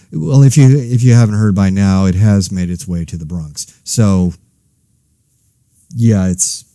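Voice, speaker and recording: A man playing a weary, anxious podcast host in a zombie outbreak, speaking casually with dry, wry, halting asides. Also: fairly low pitch, mellow, no room sound. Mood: dry, uneasy. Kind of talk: casual monologue, scripted fiction podcast.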